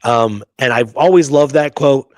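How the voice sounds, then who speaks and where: Emphatic mid-pitched man chatting history. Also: unhurried, darkish tone, no echo, studio quality. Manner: emphatic, conversational, rhetorical questioning. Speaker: history show host. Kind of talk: casual history discussion.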